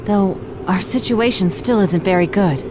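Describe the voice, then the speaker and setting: A woman's voice: medium pitch, unhurried, noisy background, lightly acted. A woman voicing a polite, serious, analytical young woman with an American accent in an anime-style game, formal and articulate. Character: composed, analytical young female detective type, polite and serious.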